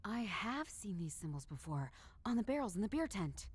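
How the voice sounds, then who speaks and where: An actress playing a tough, dry-witted woman detective who narrates a noir game in a deadpan, understated way. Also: medium pitch, normal speed, breathy edge. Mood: intent, wary.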